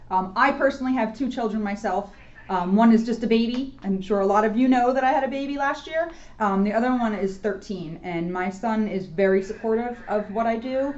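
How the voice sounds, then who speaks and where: A woman's voice: mid-range voice, darkish tone. An American woman, a school police officer around her thirties or forties, talking informally to schoolchildren. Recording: dull lo-fi audio.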